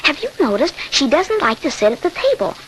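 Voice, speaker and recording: Earnest small boy, high voice, animated storytelling, fearful, old radio drama, faint hiss. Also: fairly quick. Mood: worried. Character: earnest young boy recounting a fearful childhood. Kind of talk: 1940s radio horror narration and acting. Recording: slightly noisy 1940s radio recording.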